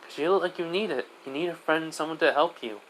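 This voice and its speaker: A man's voice: a little high, quick pace, a bit bright, voice pushed up, natural voice. A man voicing a cheerful, protective little girl spirit in an amateur story, with a childlike, bubbly, pleading manner. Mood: reassuring. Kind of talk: amateur character voice in improvised storytelling. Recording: noisy amateur recording.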